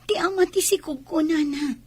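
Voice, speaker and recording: A woman's voice: high voice, normal speed, darkish tone, close to an everyday voice. A woman playing an anxious, earnest child talking to mother in an Ilocano radio drama. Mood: plaintive, earnest.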